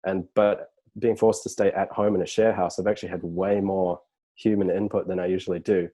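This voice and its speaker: A man chatting casually as a podcast guest over a video call, relaxed and rambling with fillers. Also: deep voice, brisk. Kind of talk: casual chat.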